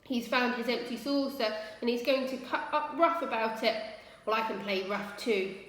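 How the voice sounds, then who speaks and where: A woman voicing a gruff, proud, blustering male hedgehog father in a storybook reading. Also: fairly high pitch, normal speed, a bit bright, lightly acted. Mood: belligerent. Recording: very echoey room with long reverb.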